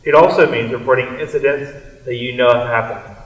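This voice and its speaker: A man reciting a scripted theater piece in a deliberate, declamatory manner, as a student performer. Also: medium pitch, fairly even intonation. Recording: echoey hall, distant microphone.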